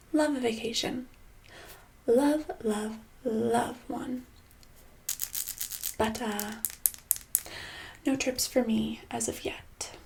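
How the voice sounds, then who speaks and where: A woman playing a controlling, passive-aggressive boss in a soft-spoken ASMR roleplay, breathy and slipping into whispers, condescending and casually menacing. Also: on the high side, slight background noise. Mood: wry, self-pitying. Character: controlling, passive-aggressive female boss holding an employee hostage.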